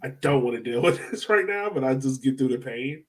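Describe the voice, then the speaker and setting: A man's voice: mid-range voice, animated, a little fast. A man hosting a podcast, chatting casually about sports. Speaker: podcast host.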